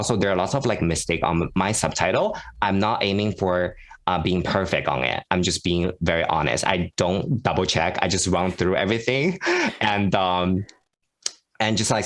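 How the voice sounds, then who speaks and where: Low-voiced, frank, rambling man. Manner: frank, rambling, self-mocking. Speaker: guest YouTuber. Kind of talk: casual podcast chat.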